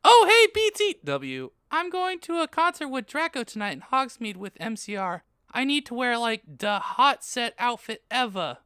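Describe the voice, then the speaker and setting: Woman as a bright, dramatic goth teenage girl. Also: a little high, big pitch swings, moderate pace, hardly any pauses, smooth, dry recording, studio quality. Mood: excited, shocked.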